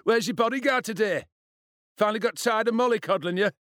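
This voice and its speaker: Audiobook bully boy performed by a man: foul-mouthed, cocky, taunting, high and very animated, dry studio sound. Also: normal speed, no echo. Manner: taunting, sneering delivery. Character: cruel, swaggering schoolboy bully, jeering and foul-mouthed.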